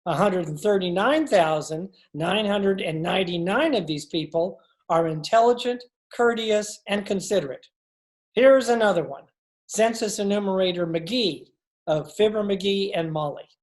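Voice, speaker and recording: A woman's voice: medium pitch, normal speed. A woman reading scripted narration as the announcer of an old-time radio show.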